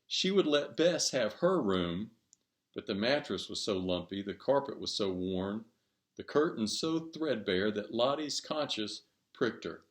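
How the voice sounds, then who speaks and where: A man reading a short story aloud, narrating in measured, deliberate phrases. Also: lowish voice, sing-song.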